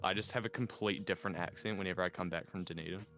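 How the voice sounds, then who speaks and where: Low-voiced young Kiwi man. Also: steady tone, racing, over music. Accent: New Zealand. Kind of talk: casual chat.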